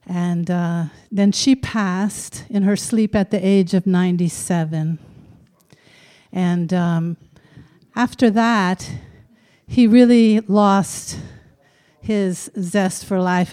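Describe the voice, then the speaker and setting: A middle-aged woman tells a personal story to a live audience, frank and conversational. Her voice is mellow and smooth, and she speaks slowly, leaving room between thoughts. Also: lowish voice, steady tone. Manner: frank, unhurried, conversational with occasional fillers.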